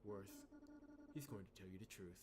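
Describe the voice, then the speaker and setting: A man's voice: low voice, normal speed, natural voice. A man voicing a guarded, cynical young woman in a visual novel, speaking bluntly and coldly. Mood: blunt warning. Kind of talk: reading visual novel dialogue aloud. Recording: music behind the voice.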